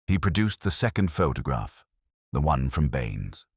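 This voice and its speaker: A man's voice: very deep voice, sing-song, unhurried, slightly rough, no room sound, clean studio sound. A man narrating a Victorian detective mystery in the scripted style of an audiobook. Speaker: narrator, Dr Watson telling a detective story.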